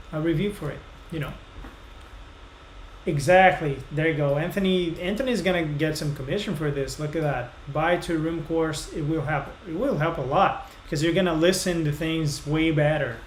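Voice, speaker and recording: A man in his thirties from Brazil with a Brazilian Portuguese accent, casually explaining mixing feedback on a live stream, full of fillers. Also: fairly high pitch. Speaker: music reviewer host. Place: small home studio room. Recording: roomy echo, faint noise.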